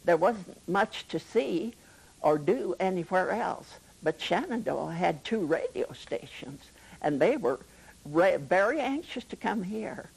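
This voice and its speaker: A woman's voice: lowish voice, animated, slow pace, gravelly, a little mellow, husky, low-quality recording. An older woman reminiscing conversationally in an interview, with a folksy way of talking. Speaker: documentary interviewee.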